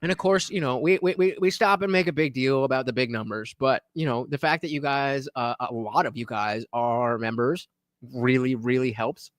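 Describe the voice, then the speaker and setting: A man hosting a live stream, reacting to a debate clip with quick, profane asides. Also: medium pitch, no room sound.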